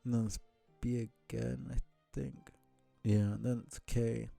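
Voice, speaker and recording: A man streaming a casual read-aloud of a comic, with halting, muttered asides. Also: mid-range voice, level tone, vocal fry, warm tone, no room sound, soft background music. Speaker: streamer reading a webcomic aloud.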